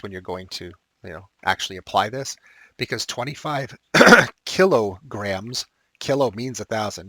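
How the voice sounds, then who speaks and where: Man, math teacher, low voice, dry close sound, slightly raspy, step-by-step explaining. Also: pushed and bright, no room sound. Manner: explains step by step with asides and fillers. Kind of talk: recorded math lesson walkthrough.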